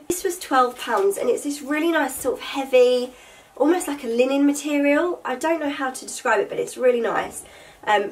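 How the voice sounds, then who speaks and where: A woman's voice: on the high side, distant mic. A young woman in her twenties, sounding nasal from a cold, chatting casually to camera in her bedroom about things she bought, with lots of fillers. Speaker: vlogger. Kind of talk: casual haul chat to camera. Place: bedroom with vanity table, indoors.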